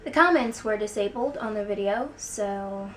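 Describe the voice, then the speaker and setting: A young American woman giving an opinion vlog to camera, stressing her points emphatically and deliberately. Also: mid-range voice, fairly bright tone. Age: young adult. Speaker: video blogger. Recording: clear background noise, room ambience.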